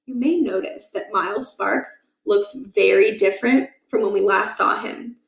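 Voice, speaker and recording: A woman reading a children's book aloud as expressive narration, with measured, emphatic phrasing. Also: mid-range voice, vocal fry, roomy sound.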